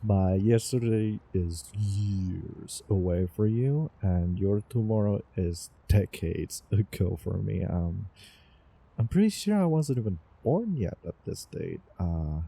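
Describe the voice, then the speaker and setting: A man playing a doting, obsessive young time traveler in love, in a theatrical romantic audio roleplay with halting, repeated words. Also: fairly low pitch, lively intonation, vocal fry, dark tone, breathy edge, full character voice. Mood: amused, curious. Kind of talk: over-the-top romantic roleplay audio drama.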